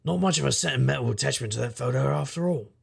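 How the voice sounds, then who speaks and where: An actor playing a sardonic, world-weary private investigator, narrating and talking in a dry deadpan noir style. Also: male voice, mid-range voice, quick pace, creaky, slightly rough, exaggerated voice. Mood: dry, knowing. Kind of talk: noir audio drama, first-person narration and dialogue.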